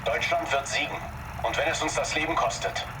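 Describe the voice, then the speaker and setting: An actor playing a fanatical German Nazi commander in a war game, making a grave, resolute villain speech. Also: male voice, fairly high pitch, a little fast, recorded from a distance, noisy background, close to an everyday voice.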